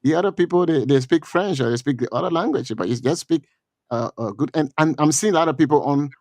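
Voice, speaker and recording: A middle-aged Liberian man with a Liberian accent giving political commentary on a talk show, halting and repeating words with many fillers. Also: a little high, darkish tone, no echo.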